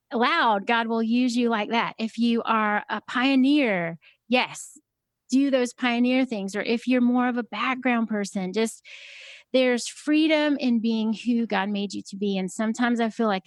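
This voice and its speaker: A woman with a high voice talking casually as a podcast guest, soft-spoken and hesitant, with many fillers. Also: dry recording. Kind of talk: casual conversation.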